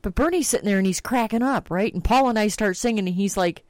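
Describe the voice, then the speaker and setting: A woman's voice: mid-range voice, quick pace, a little mellow, dry recording. A middle-aged woman hosting a casual chat show, telling stories and swearing freely. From Texas. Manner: salty, storytelling, swears freely. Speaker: podcast host.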